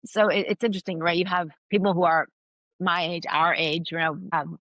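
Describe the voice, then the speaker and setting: A woman's voice: lowish voice, steady tone, fairly quick, a bit bright, no echo. A middle-aged woman whose first language is Farsi, speaking as a podcast guest in an earnest, reflective way with many restarts and fillers. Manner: earnest, with frequent restarts and "you know" fillers. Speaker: author and university leader, podcast guest. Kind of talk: reflective interview conversation.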